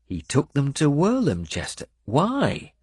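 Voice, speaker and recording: A man's voice: on the high side, wildly swooping pitch, takes it slowly, darkish tone, slightly rough, no echo, studio quality, voice pushed up, heavily acted. A male narrator playing a seasoned warlord who speaks in a probing, commanding manner. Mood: protesting. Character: seasoned male warlord, gentle with her, bitter about war.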